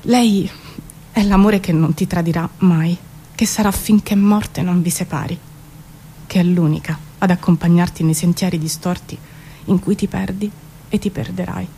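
A woman giving an expressive, measured theatrical spoken-word reading with dramatic pauses. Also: fairly low pitch, slight background noise. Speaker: performer reading her own poetic prose. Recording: music behind the voice. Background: music.

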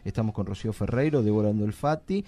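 A man's voice: on the low side, fast talker, warm tone, a little hiss or hum. A man with an Argentine accent hosting a live radio show, chatting and joking with guests. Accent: Argentine Spanish. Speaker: radio host. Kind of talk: casual radio interview. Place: radio studio.

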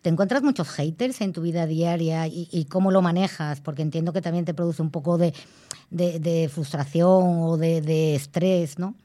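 Low, mellow-voiced woman interviewer. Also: normal speed. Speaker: radio interviewer. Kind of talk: radio interview.

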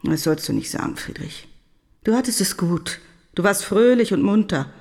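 Actress as a worried, middle-pitched plaintive mother. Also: expressive, normal speed, natural voice. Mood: worried, reproachful. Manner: gentle reproach, anxious, plain-spoken. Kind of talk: radio drama acting. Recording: faint music behind the voice. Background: faint music.

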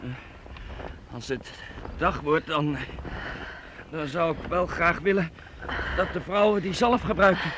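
A brave young wanderer in a radio drama. His voice is high for a man, terse and stubborn, with plain noise behind it. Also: slow, pauses often. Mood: earnest, pained.

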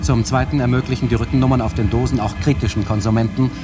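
A man's voice: medium pitch, fairly even intonation, fast talker, loud music behind the voice, natural voice. A man playing an earnest marketing consultant in a radio drama, reading a formal, jargon-laden report. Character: earnest male marketing consultant presenting a strategy paper.

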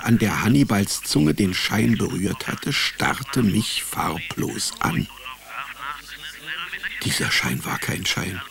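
A man's voice: on the low side, gravelly, exaggerated voice. An actor playing a dry, laconic male private detective narrating his own case in a radio drama. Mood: puzzled. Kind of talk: scripted first-person radio drama narration.

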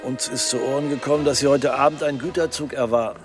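A man's voice: mid-range voice, steady tone, quick pace, over music, lightly acted. A man playing a hard-boiled, corrupt police detective in a radio play, terse, dry and threatening. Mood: menacing.

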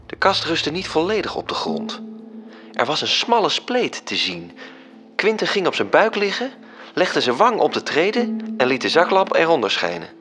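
Lively male narrator. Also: a little high, moderate pace. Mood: suspenseful. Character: storytelling angel reporting events to a superior. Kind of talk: scripted radio drama narration. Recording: music under the voice.

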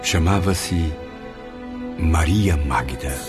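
A very deep-voiced, passionate remembering spirit. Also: male voice, very slow, full character voice. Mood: solemn, reminiscent. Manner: solemn, theatrical first-person storytelling. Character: male spirit recalling his past life with grave passion. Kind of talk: dramatic radio drama narration. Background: music.

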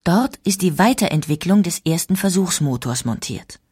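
A female narrator with a husky, fairly low voice reads a scripted documentary. Her delivery is measured and explanatory. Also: animated, a little mellow. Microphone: studio microphone.

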